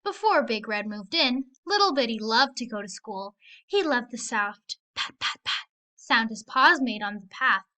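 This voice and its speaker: A woman narrating a children's story aloud in an expressive read-aloud style. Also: fairly high pitch, measured pace. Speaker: storybook reader.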